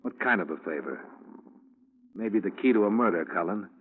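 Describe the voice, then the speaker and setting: Hard-boiled low-voiced detective, tinny radio. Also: male voice, expressive, moderate pace. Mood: tough, probing. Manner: wry, tough, slangy. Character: hard-boiled, wry male private investigator. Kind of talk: 1950s radio crime drama dialogue and narration. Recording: tinny, hissy 1950s radio recording.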